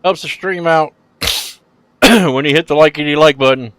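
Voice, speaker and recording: A middle-aged man streaming casually, chatting with viewers in a laid-back, rambling way and letting phrases trail off quietly. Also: fairly high pitch, unhurried, clear, resonant tone, no room sound. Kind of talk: casual live-stream chat.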